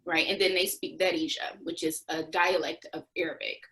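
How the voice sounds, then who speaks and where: Expressive young American woman telling stories. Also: on the low side, moderate pace. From United States. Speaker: interview guest, former Peace Corps volunteer. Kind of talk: conversational interview storytelling.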